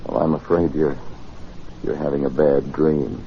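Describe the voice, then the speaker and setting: An actor playing a skeptical, wary gentleman in a 1940s radio drama, incredulous and hesitant. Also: extremely low pitch, steady tone, unhurried, creaky, full character voice. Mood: scoffing, doubtful. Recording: muffled, hissy old radio recording.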